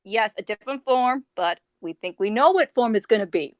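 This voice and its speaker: A woman chatting casually on a group voice call, expressive and repeating phrases for emphasis. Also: on the high side, moderate pace, crowd noise. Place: voice chat call over the internet.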